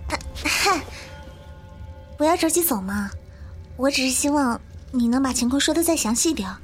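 A woman's voice: high voice, expressive, moderate pace, faint music behind. A woman playing an earnest, anxious young survivor in an audio drama, with emotional, pleading delivery.

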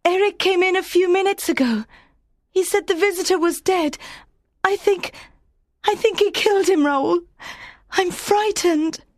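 A woman's voice: extremely high pitch, unhurried, no room sound, clean studio sound. A woman playing a frightened, pleading young captive woman in audiobook dialogue.

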